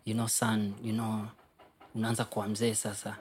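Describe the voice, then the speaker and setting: A man with a Kenyan accent and a husky voice, talking candidly as an interview guest, emphatic and often repeating his phrases. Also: on the low side, monotone, normal speed, a little hiss or hum. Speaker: podcast guest. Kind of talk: candid personal storytelling interview.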